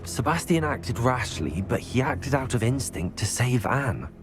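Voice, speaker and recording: A man voicing a calm, loyal student wizard in a video game, speaking in a measured, polite, conciliatory way. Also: medium pitch, music in the background. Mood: tactful, conciliatory. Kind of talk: dramatic video game acting.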